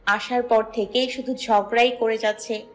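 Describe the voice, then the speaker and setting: A woman's voice: fairly high pitch, a little slow, close to an everyday voice. A woman voicing a spirited young daughter who mocks and scolds her family in an audio story. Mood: mocking. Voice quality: mocking, lively. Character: spirited young unmarried daughter, quick-witted. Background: soft music.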